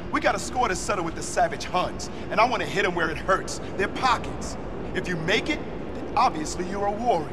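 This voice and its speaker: An actor playing a tough, blunt, slangy street gang member in a video game. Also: male voice, high-pitched, animated, brisk, very noisy. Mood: commanding. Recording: music behind game dialogue.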